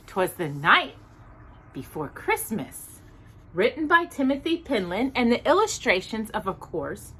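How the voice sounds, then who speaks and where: A woman hosting a children's storytime, reading aloud expressively in a deliberate storybook manner with casual asides. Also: medium pitch, a little hiss or hum. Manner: animated, deliberate storybook delivery.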